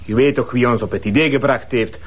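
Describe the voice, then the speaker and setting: An actor playing a fervent, suspicious Basque militant in a TV crime drama, probing and mixing in Spanish phrases. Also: male voice, medium pitch, fairly even intonation, quick pace, husky, lightly acted.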